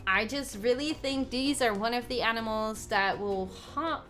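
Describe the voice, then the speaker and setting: Young dutch woman, english not her first language, high-pitched, excitable and giggly, casual livestream chat, faint music behind. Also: a little slow. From Netherlands. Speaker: gaming livestreamer. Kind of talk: casual live stream chat.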